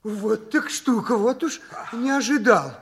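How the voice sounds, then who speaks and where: An actor playing an absent-minded, eccentric scientist in a 1960s radio play, cheerful and excitable, exclaiming, repeating phrases and humming to himself. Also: male voice, measured pace, slight background noise. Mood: muttering, surprised. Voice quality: high-pitched, piping voice.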